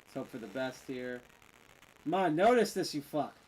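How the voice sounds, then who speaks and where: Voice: mid-range voice, animated, normal speed, darkish tone, slightly rough, low-quality recording. A game streamer chatting casually and a little tipsy while playing, rambling and joking as they go. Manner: rambling, jokey, trails off and restarts. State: slightly tipsy.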